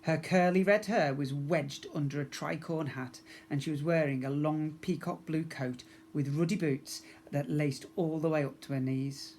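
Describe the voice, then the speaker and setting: A man's voice: fairly high pitch, steady tone, moderate pace, hardly any pauses, darkish tone, a little hiss or hum. Man reading a children's storybook. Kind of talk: expressive storybook narration.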